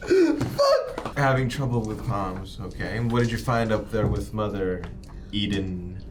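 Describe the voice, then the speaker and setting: Very animated man bantering at a game. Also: fairly low pitch, moderate pace, noisy background. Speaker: player in a tabletop roleplaying game. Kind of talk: casual, joking roleplay banter.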